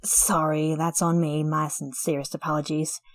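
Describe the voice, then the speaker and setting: A woman's voice: sits low, flat delivery, moderate pace, background noise, natural voice. A woman voicing a motherly dwarf baker. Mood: fussy. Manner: chatty, apologetic, calls others dearie. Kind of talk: fan audio drama roleplay.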